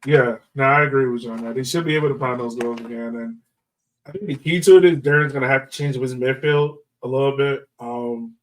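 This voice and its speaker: A man hosts a casual sports chat in informal, slangy language. His voice has a medium pitch and sits back from the microphone, sounding a little distant. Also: brisk. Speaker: podcast host.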